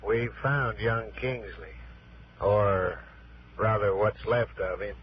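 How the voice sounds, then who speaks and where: An American actor playing a wary, down-to-earth desert motel keeper in a 1960s radio drama, folksy and halting, on a noisy old recording. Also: male voice, on the low side, lo-fi sound. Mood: grave, reluctant.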